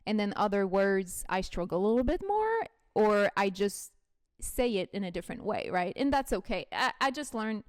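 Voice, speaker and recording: Woman with a Québécois French accent, French native speaker, podcast host, chatty and reflective, smooth voice, dry close mic. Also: medium pitch, big pitch swings, normal speed, dry recording. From Quebec, Canada. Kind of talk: conversational podcast interview.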